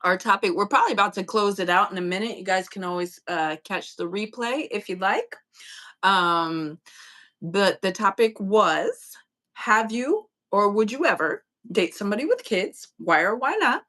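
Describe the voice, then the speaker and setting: A woman from upstate New York co-hosting a livestream, chatting informally with viewers in relaxed, slangy everyday talk. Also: mid-range voice, moderate pace. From upstate New York, United States. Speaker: co-host of a livestream. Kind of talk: casual livestream chat with viewers. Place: remote joint livestream, speakers in different states.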